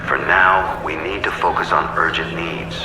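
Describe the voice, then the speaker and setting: Very deep-voiced older deputy mayor. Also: male voice, steady tone, moderate pace, distant mic. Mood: placating. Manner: placating politician, hesitant. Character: genial, flustered older deputy mayor and grandfather. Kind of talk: audio drama acting. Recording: roomy, off-mic, street noise behind.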